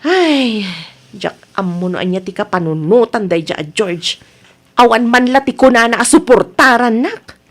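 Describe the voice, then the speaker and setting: A woman playing an exasperated, high-voiced Filipino wife. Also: lively intonation, unhurried. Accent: Ilocano Filipino. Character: aggrieved, complaining Ilocano wife and mother. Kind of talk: radio comedy-drama acting.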